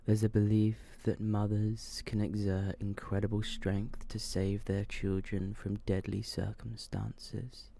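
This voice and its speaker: A man reading short horror stories in a soft, breathy, hushed ASMR style, often slipping toward a whisper. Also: lowish voice. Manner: slow, hushed, subdued delivery. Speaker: ASMR storyteller.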